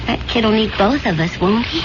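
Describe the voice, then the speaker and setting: An actress playing a supportive but insistent wife in a 1970s radio drama, coaxing and persuasive. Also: female voice, fairly high pitch, animated, moderate pace, lightly acted. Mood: coaxing, determined. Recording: dull, noisy old radio broadcast recording.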